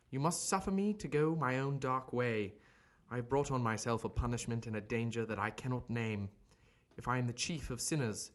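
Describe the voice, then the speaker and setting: A man playing a guilt-ridden gentleman doctor in an audio drama, speaking a letter in a formal, solemn, secretive way. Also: medium pitch, lightly acted. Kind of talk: audio drama acting, letter read in character.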